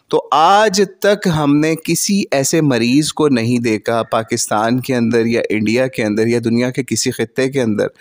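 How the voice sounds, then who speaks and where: A man who cites scripture by number and argues a religious point in an earnest, lecturing way. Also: mid-range voice. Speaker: religious commentator. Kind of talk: argumentative religious rebuttal.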